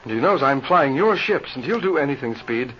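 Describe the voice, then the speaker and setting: An actor playing a nervous, suspicious pilot with halting, fretful speech in an old-time radio adventure serial. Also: male voice, mid-range voice, expressive, a bit bright, close to an everyday voice. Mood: anxious, fearful. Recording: dull, noisy old radio serial recording.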